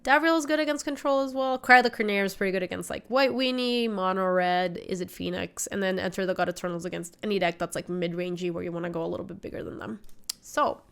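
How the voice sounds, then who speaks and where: A woman's voice: on the low side, fast, vocal fry, a bit bright, clear, resonant tone. A woman in her twenties with a slight cold, casually explaining a card game deck and chatting while she plays. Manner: chatty, explains deck choices, reads chat aloud. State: sniffly from a cold. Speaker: streamer. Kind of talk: casual gameplay commentary. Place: home streaming room.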